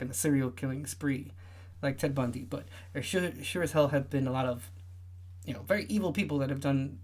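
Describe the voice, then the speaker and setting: A young man with a light, high voice that listeners often mistake for a woman's. He hosts a relaxed livestream, chatting loosely and filling gaps with lots of "uh"s and restarts. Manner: rambling, many filler sounds and restarts. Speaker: livestream host. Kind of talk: casual livestream chat.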